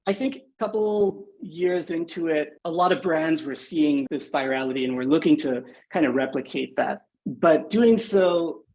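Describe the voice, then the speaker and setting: A man's voice: on the high side, moderate pace, hardly any pauses, a little mellow, rough recording. A man from Singapore in his thirties, a podcast guest talking thoughtfully with lots of "you know" and "right?" fillers. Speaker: guest, agency founder. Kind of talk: podcast interview.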